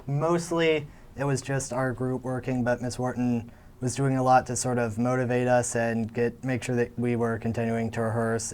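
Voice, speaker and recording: A teenage male student actor answering interview questions in a measured, explanatory way. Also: medium pitch, a little mellow, clean tone.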